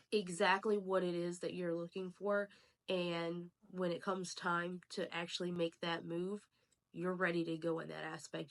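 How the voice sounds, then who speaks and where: A woman who is sick and a little raspy, talking casually and emphatically as she gives advice as a realtor and channel host. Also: lowish voice. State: sick, slightly hoarse. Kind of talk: casual talk to camera, advice. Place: at home, indoors.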